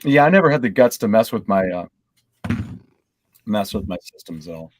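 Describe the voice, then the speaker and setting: A man's voice: lowish voice, animated, moderate pace, a little hiss or hum. A man co-hosting a podcast, chatting casually and sometimes reading items out to the group. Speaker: podcast co-host.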